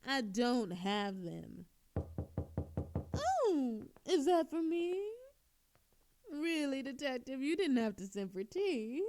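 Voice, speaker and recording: Woman acting a high-voiced, flirty lady thief. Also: very animated, takes it slowly, long pauses, a little mellow, slight background noise, exaggerated voice. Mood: teasing, flirty. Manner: coy, sarcastic, theatrical teasing. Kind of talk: scripted audio roleplay acting.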